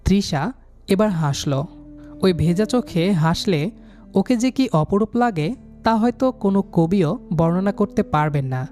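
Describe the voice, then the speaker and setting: A man's voice: high-pitched, expressive, unhurried, a little mellow. A man narrating a romantic story in the first person, dramatically, with an earnest and emotional delivery. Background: background music.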